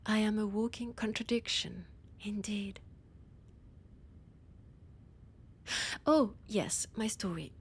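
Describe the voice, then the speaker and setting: An ancient female vampire scholar with a French accent, played by a woman in a roleplay audio drama. She talks slowly and deliberately at a middling pitch, often sinking into a breathy whisper. Her manner is proud, teasing and predatory. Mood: wry, teasing.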